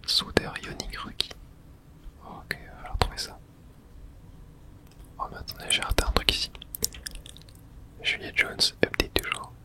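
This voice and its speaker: Someone whispering throughout an ASMR let's play, narrating the game and reading its texts aloud in a hush. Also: normal speed, long pauses. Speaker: host playing and narrating a game.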